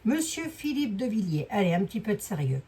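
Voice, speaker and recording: A woman with a hoarse voice giving a casual, rambling card reading, full of fillers and asides to her viewers. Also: mid-range voice, very animated, fairly quick, dark tone. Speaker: clairvoyant doing a card reading. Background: fan noise.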